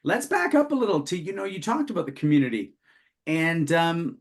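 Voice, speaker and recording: A man's voice: fairly high pitch, sing-song, fairly quick. A man hosting a podcast, asking his guests questions in casual conversation. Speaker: podcast host. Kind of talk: casual interviewing.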